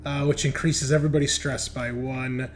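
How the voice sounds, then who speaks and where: Man, game master, improvised tabletop horror narration, casual and conversational, mid-pitched voice. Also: flat delivery, normal speed, soft background music.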